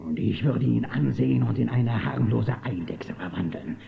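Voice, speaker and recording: A gravelly, gloating villain boss. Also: male voice, mid-range voice, normal speed. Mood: menacing, boastful. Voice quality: gravelly, rasping. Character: gloating, irritable male outlaw boss, boastful and menacing. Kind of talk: German children's audio drama acting. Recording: muffled phone-like band, echoey, faint noise.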